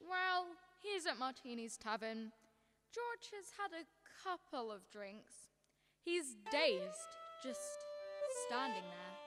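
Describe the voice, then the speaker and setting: A teenage girl playing a calm heavenly boss in a radio drama, measured and explanatory, in long reverb. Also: sits high, faint music behind, exaggerated voice. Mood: grave, calm. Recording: long hall reverb, soft chimes.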